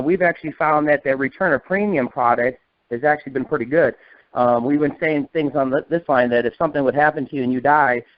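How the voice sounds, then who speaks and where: A middle-aged American man coaches a sales team over a thin, narrow telephone line. His voice sits a little high for a man, and the sound is dry. He is a folksy fast talker with a motivational, trainer's delivery. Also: no echo. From northern United States. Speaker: sales agency leader and trainer. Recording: narrow, tinny conference-call phone line.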